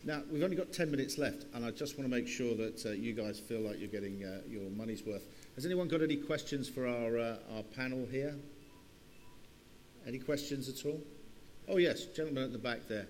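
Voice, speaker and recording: A man's voice: medium pitch, brisk, darkish tone. A man informally moderating a live conference panel. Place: conference session in a large room. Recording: hall reverb with faint hum.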